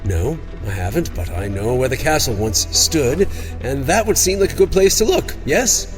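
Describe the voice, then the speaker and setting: A man playing an eager, animated devout cleric. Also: medium pitch, normal speed, over music, pitched above the performer's own voice, natural voice. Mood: eager, urgent. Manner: animated, earnest, exclamatory. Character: eager, devout, slightly awkward male cleric. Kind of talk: fantasy audio drama dialogue.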